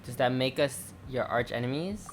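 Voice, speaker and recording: A gamer giving casual gameplay commentary in halting, muttered self-talk. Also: mid-range voice, noisy background. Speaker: gaming video creator. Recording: game music behind.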